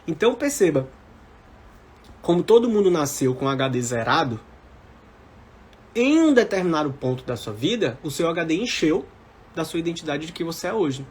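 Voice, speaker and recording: Animated, higher-pitched Brazilian man coaching. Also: moderate pace, long pauses, husky. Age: about 40. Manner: emphatic, repeats key phrases, checks in with viewers. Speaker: mentor for civil service exam candidates. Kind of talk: motivational live-stream coaching, informal talk to viewers.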